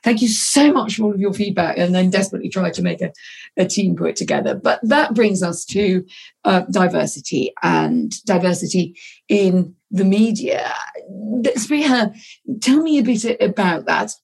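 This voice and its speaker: A woman moderating a podcast panel, steering the talk briskly between her guests. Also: mid-range voice, gravelly, darkish tone, breathy edge. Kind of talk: panel discussion.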